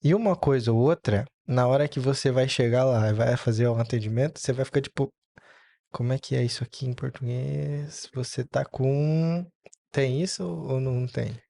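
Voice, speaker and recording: A man's voice: mid-range voice, moderate pace, creaky, mellow, dry recording. A man on a casual podcast, asking questions on behalf of his friends in an inquisitive, conversational way.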